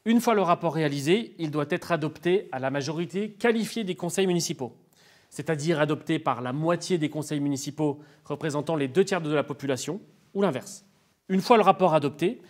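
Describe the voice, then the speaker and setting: A man's voice: a little high, animated, a little fast. A man giving formal, didactic explanations as an expert in an interview, in structured, prepared sentences.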